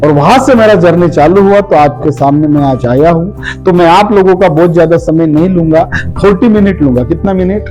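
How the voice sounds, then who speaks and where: Male motivational speaker, high register, interactive and repetitive for emphasis, over faint music. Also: fairly quick, darkish tone, poor audio. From Maharashtra, India. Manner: interactive, asks the audience questions, repeats phrases for emphasis. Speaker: motivational speaker for direct selling. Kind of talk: motivational seminar speech with personal anecdotes.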